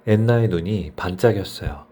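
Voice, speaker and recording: Deep-voiced man reading a bedtime story. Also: normal speed, mellow, slightly rough. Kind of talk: bedtime story narration for children.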